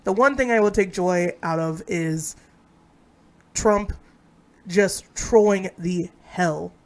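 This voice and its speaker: A woman with a low voice that is hoarse and stuffy from a cold, ranting outspokenly in a casual chat as a podcast co-host. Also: takes it slowly. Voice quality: low, sick-sounding voice.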